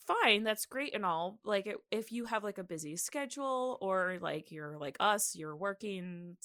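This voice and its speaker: A woman's voice: medium pitch, lively intonation, unhurried, a bit bright, no room sound, studio quality. A woman co-hosting a podcast, telling researched history in an informal, conversational way. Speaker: podcast co-host. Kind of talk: casual podcast chat with researched history narration.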